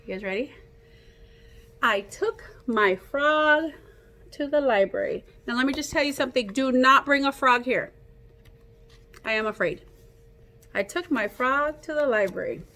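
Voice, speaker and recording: Female children's read-aloud teacher, fairly high voice, very unhurried, interactive, explains simply and asks questions. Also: lively intonation, sharp tone. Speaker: teacher reading a picture book aloud to children.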